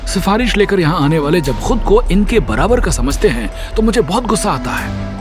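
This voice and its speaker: A stern veteran training commander, acted out by a male narrator, scornful and sharp-tongued. His pitch sits fairly high and moves a lot as he barks reprimands in a military audio drama, with music playing underneath. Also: very animated, normal speed. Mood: angry, scornful. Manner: harsh, sarcastic, barking reprimands.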